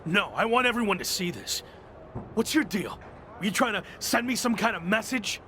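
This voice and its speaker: A headstrong young champion wrestler in a game story scene. His voice is high and swings widely as he insists and defies, with faint noise behind it. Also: lively intonation, normal speed, sharp tone. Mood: angry. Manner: insistent, defiant. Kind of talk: video game cutscene acting.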